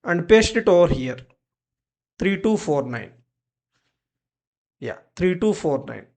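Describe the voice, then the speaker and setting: A tutorial presenter narrating step-by-step software instructions in short, clipped commands. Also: medium pitch, animated, slow.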